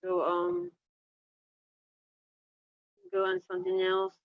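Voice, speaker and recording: A woman's voice: medium pitch, very animated, slow pace, vocal fry, phone-quality sound. A woman chatting casually as a podcast host, hesitant and often repeating short phrases. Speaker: host and reader of a storytelling podcast. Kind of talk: casual chat.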